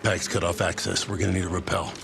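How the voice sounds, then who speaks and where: An actor playing a gruff, terse American squad leader in a military video game, commanding and profane. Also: male voice, low-pitched, little pitch movement, quick pace, background noise. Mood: urgent, commanding. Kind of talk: cinematic video game acting.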